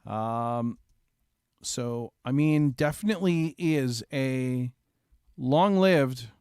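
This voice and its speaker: A man's voice: mid-range voice, drawn-out words, a little mellow, clean tone, no echo. A man hosting a game stream in casual, informal chat with jokey asides, speaking close to the microphone. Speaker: streamer and host.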